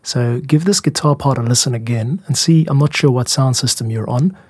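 A man hosting a podcast about making music, explaining things in a measured, candid, self-critical way. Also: medium pitch, a little mellow, slightly rough, dry recording, clean studio sound. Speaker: music podcast host and composer.

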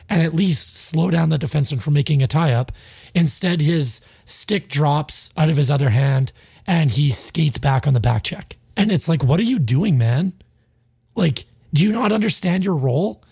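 Gravelly, husky man ranting about hockey. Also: on the high side, warm tone, no echo, studio quality. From Canada. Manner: frustrated, blunt, swears freely. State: stuffy, sinusy. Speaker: podcast co-host. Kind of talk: casual sports podcast rant.

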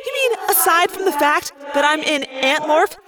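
A woman's voice: sits high, expressive, normal speed, very noisy. A woman voicing a panicky but wry teenage girl in an audiobook, exclaiming as she speaks. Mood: panicked, wry.

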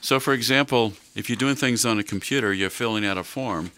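A mature American man hosting a conversational interview, explaining things deliberately and posing leading questions. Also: medium pitch, pushed and bright, smooth. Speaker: host and legal adviser. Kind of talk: conversational interview on legal planning.

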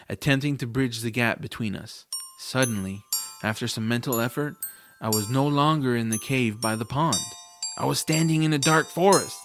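A man's voice: medium pitch, natural voice. A man playing an earnest, self-doubting boy hero who narrates a fantasy audio drama in the first person. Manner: earnest, youthful phrasing.

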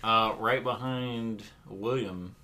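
A man's voice: on the low side, slow pace, bright, forceful tone. A man co-hosting a podcast, joking and laughing often as he chats through a game. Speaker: podcast co-host. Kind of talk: casual chat while playing a game.

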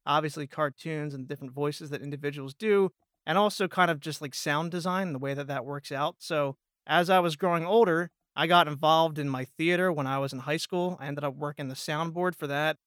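A man's voice: fairly high pitch, a little fast, a bit bright, no echo, clean studio sound. A man in his twenties chatting casually as a voice actor guest on a podcast. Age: 20s. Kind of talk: casual chat.

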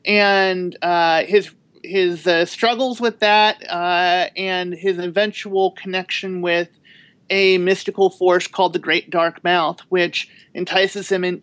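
A woman's voice: fairly low pitch, no room sound. A woman author talking as a podcast guest in a thoughtful, rambling way, with frequent restarts. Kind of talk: casual interview talk.

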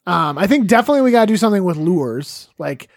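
A man's voice: sits high, sing-song, fast talker, gravelly, dry recording, studio quality. A man in his late twenties co-hosting a casual chat, thinking aloud and rambling with restarts as he brainstorms. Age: late 20s.

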